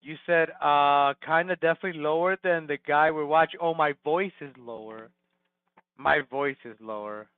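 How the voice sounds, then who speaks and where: A man's voice: a little high, normal speed, smooth, no room sound. Flustered man on a tinny headset. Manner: flustered, fumbling, self-conscious. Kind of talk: casual mic check. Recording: tinny, dry headset line.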